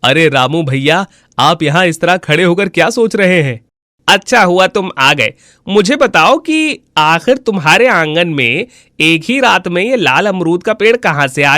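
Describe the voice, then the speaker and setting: A man playing a simple, kind-hearted villager in a cartoon moral story, with an earnest, expressive delivery. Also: sits high, measured pace, dry recording, studio quality, heavily acted. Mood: puzzled. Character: honest, simple, kind-hearted village husband and his neighbours.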